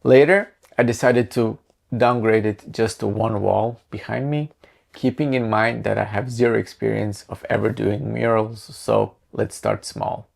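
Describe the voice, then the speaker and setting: A man's voice: on the low side, steady tone, measured pace, gravelly. A man in his thirties from Croatia, narrating his creative vlog in a wry, self-mocking way. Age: 30s. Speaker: creative channel host.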